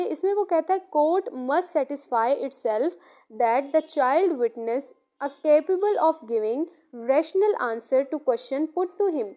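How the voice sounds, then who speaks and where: A woman's voice: high voice, warm tone. A Pakistani woman, a native Urdu speaker, teaching law by reading out points and explaining them repetitively. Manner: repetitive, code-switches between Urdu and English. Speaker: law lecturer.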